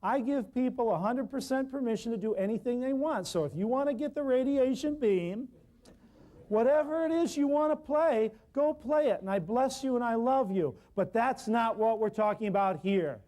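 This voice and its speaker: A man teaching a natural healing workshop to a live class, talking loudly and emphatically in a folksy way, with lots of repeated lists of words. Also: very high-pitched, normal speed.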